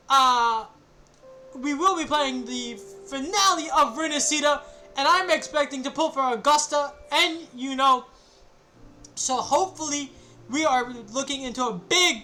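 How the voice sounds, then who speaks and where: A woman's voice: high voice, measured pace, sharp tone. A woman streaming a video game, giving casual live commentary.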